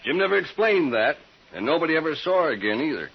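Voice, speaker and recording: A spiteful, gossiping frontier homesteader who needles and repeats himself in an American accent. It is 1950s western radio acting, on a muffled recording with clear background noise. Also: male voice, fairly high pitch, very animated, quick pace. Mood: accusing. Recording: dull, noisy old radio recording.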